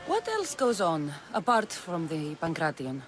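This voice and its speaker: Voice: medium pitch, wildly swooping pitch, unhurried, over music. An actor playing a determined, no-nonsense Spartan warrior in a video game, speaking bluntly and dryly. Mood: resolute. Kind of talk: video game cutscene acting.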